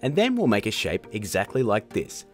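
Lively man narrating a tutorial over music. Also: on the low side, moderate pace. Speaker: tutorial host. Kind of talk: casual screen-recorded tutorial narration.

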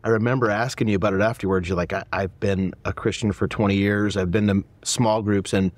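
A man's voice: fairly low pitch, steady tone, brisk, no room sound. A man in his forties, a pastor hosting an interview podcast, talking earnestly with many restarts in a church auditorium. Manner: earnest, stumbling restarts, warm affirmations. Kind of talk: casual conversational interview. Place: dark church auditorium, indoors.

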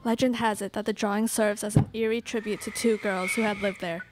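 A woman's voice: mid-range voice, steady tone, brisk, over loud music, natural voice. A young woman playing a teasing, dramatic college student with a florid delivery in a student radio play. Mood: spooky, teasing. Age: young adult. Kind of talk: student live radio play, read from script. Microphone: stage microphone on stand. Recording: noisy live studio pickup with clicks.

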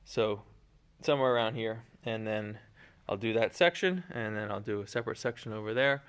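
A man's voice: fairly low pitch, a little fast, clear, resonant tone. A man in his thirties, talking casually and rambling a bit with fillers and self-deprecating asides as he films his house build outdoors on a phone at arm's length. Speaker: home builder vlogger. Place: outdoor construction site in woods. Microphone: phone held at arm's length.

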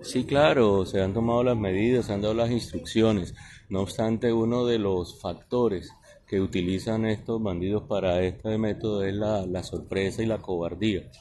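A Colombian man, a police colonel, answering reporters in a formal, halting way outdoors into handheld microphones. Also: on the low side, fairly even intonation, background noise. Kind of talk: press interview answers. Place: outdoors at night outside a building.

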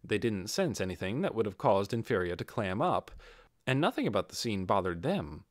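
A man's voice: on the low side, very animated, moderate pace, no room sound, studio quality. A man narrating a fantasy audiobook from a written script.

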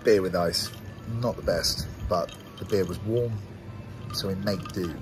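A man's voice: sits low, unhurried, over music. A British man in his twenties chatting casually to camera as a travel vlogger, in a laid-back, slangy way, outdoors on a handheld camera. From grew up in Southeast Asia, mainly Singapore. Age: 20s. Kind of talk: casual vlog chat to camera. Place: outdoors, open-air bar and roadside in a small town. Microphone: phone or camera at arm's length.

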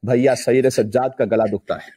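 A man with a mid-pitched voice delivers an impassioned religious sermon. His delivery is emphatic and declamatory, shaped like a mournful storyteller's. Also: fairly even intonation, fairly quick, a crowd in the background. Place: indoor room with draped religious banners, live stream.

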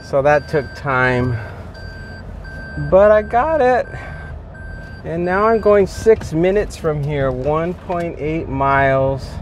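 A man giving casual running commentary while driving his car on delivery, thinking out loud with fillers. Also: a little high. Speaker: delivery driver vlogger. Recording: clear road and car noise. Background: car and street noise.

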